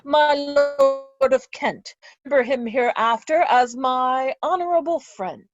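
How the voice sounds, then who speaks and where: A woman's voice: high voice, very animated, slow pace, highs cut off, heavily acted. A woman playing a genial, bawdy older earl in a Shakespeare reading. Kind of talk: Shakespearean reader's theatre.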